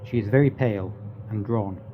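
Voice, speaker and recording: A man playing an earnest, formal Victorian asylum doctor in a radio drama, speaking in a measured, grave way. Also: fairly low pitch, lightly acted. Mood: concerned. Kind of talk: radio drama acting and narration. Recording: dull lo-fi audio, faint noise.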